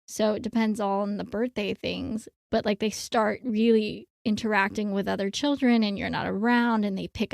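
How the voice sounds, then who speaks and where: A woman's voice: mid-range voice, darkish tone, no echo, studio quality. A woman in her thirties co-hosting a casual parenting chat, rambling with many fillers. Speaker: co-host.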